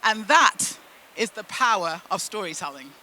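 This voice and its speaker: A woman from the UK and Zimbabwe, a native Shona speaker, giving a motivational storytelling talk with deliberate phrasing and emphatic pauses. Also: medium pitch, expressive, pushed and bright, slight background noise, rough recording. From United Kingdom and Zimbabwe. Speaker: keynote speaker at a business event.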